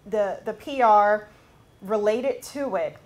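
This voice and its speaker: A woman from the Bahamas speaking as a judge in a pitch competition, giving feedback and questions in a deliberate, emphatic way. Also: a little mellow.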